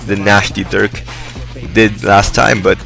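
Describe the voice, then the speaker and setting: A young man with a hoarse voice giving rambling, upbeat commentary over his own gameplay. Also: lowish voice, flat delivery, slow, fairly bright tone, faint music behind. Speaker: gaming video host. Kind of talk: casual game commentary. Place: indoors, hot room.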